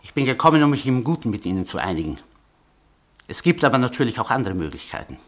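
A man's voice: mid-range voice, very animated, quick pace. An actor playing a sly, mocking male informant who blackmails with oily politeness in a radio play. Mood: threatening. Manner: oily, mocking politeness, tells threats as a fairy tale.

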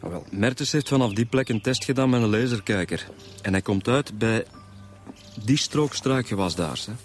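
An actor with a Flemish accent playing an easygoing, chatty police detective in a radio drama, explaining things colloquially with frequent tag questions. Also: male voice, fairly low pitch, moderate pace, faint music behind, close to an everyday voice.

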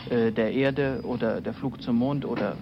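A man's voice: medium pitch, moderate pace. A man asking formal questions as an interviewer in an archive recording. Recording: dull, noisy archive recording.

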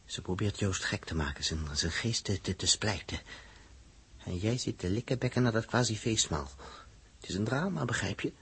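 An actor playing a blunt, agitated space crewman with a husky voice and emphatic, stammering repeats, in 1960s radio drama style. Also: fairly low pitch, lively intonation, normal speed, a bit bright, full character voice. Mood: agitated, scolding.

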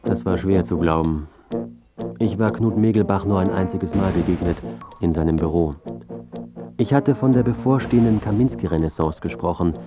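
A man's voice: low voice, moderate pace, lo-fi sound, over music, natural voice. An actor playing a smug, calculating journalist in his thirties, narrating and talking with dry self-importance in a radio drama. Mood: smug, cynical. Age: 30s. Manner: self-important, smooth-tongued, dryly cynical. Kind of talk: first-person narration and dialogue in radio drama.

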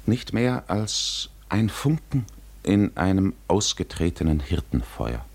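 A man narrating a literary 1950s radio drama in a grave, measured, deliberate storytelling manner. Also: low voice, big pitch swings, vocal fry, darkish tone, slightly rough.

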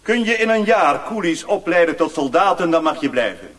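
An actor playing a haughty, sardonic Chinese warlord governor in a radio drama, imperious and questioning. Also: male voice, fairly high pitch, big pitch swings, brisk, a bit bright, recorded from a distance. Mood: amused, commanding. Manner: imperious, interrogating.

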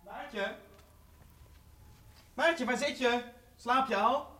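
High-pitched, eager young assistant acted by a man. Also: drawn-out words, heavy background noise, full character voice. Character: Kaspar, helpful eager young male assistant. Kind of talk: children's radio play acting.

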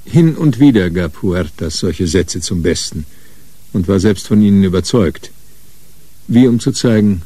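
A man's voice: low voice, expressive, a little slow, warm tone, breathy edge, dry recording, full character voice. A man narrating a radio crime drama in a detached, wry, scripted style.

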